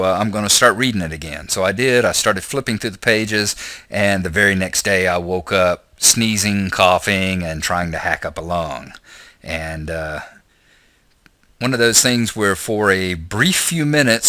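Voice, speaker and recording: A hoarse man rambling folksily through a casual gameplay vlog, full of fillers. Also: low-pitched, sharp tone, dry recording. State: hoarse from a chest cold. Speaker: channel host.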